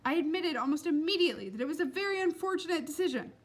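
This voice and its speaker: A man's voice: very high voice, lively intonation, very fast, pitched above the performer's own voice. A woman voicing an earnest, tearful boy with wounded pride, speaking in precise, formal phrases for a storybook. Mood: defensive, formal.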